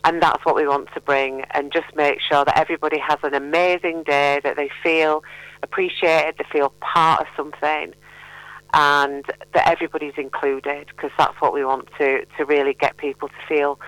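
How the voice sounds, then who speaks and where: A woman's voice: sits low, level tone, moderate pace, a bit bright, clean tone, dry recording, low-quality recording. A middle-aged woman chatting as a charity guest in a radio interview, playful and encouraging. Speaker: mental health charity representative, radio guest.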